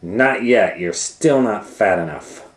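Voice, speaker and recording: A man's voice: fairly low pitch, animated, takes it slowly, pitched above the performer's own voice. A man voicing a greedy, impatient old witch in a children's storybook, gloating and bossy as the witch exclaims. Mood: impatient, annoyed. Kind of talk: children's storybook read-aloud. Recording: roomy, echoing indoor sound.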